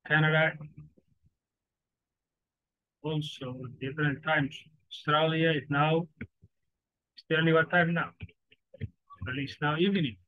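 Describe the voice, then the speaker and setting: Man teaching, halting. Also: fairly high pitch, steady tone, dry recording. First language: Somali. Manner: halting, repeats phrases, broken English. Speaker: forex trading teacher. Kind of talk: informal teaching monologue. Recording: dry, slightly dull lo-fi recording.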